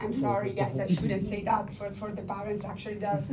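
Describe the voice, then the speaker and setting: A woman's voice: mid-range voice, flat delivery, a little fast, background noise. A young woman from Italy with an Italian accent, doing a chatty, profane open mic stand-up set in a small comedy club, talking straight to the crowd. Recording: loud crowd laughter, voice from a distance.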